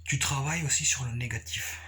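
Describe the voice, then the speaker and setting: A man giving a casual, unscripted explanation, repeating himself haltingly and often checking that the listener follows. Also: medium pitch, bright, forceful tone. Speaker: capoeira practitioner sharing views.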